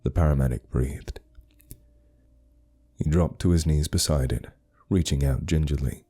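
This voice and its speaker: A man's voice: extremely low pitch, measured pace, mellow, breathy edge. A man narrating a scripted horror story in a grave, suspenseful manner.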